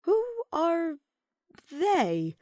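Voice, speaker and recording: A woman's voice: very high-pitched, wildly swooping pitch, extremely slow, darkish tone, no room sound, studio quality, pitched above the performer's own voice, exaggerated voice. A woman voicing a confused, sarcastic young man lost in a storybook land, hesitant and wry.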